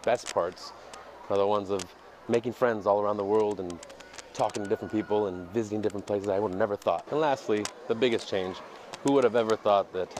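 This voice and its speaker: A man in his thirties talks in a fairly low voice while he walks down a city street. He speaks candidly and reflectively, with plenty of hesitations. His earphone mic also picks up clear street noise and passing traffic. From United States. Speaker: dance teacher and vlogger. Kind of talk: casual walking vlog monologue. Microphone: earphone headset mic, phone at arm's length. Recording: noisy outdoor street recording. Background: street ambience and passing vehicles.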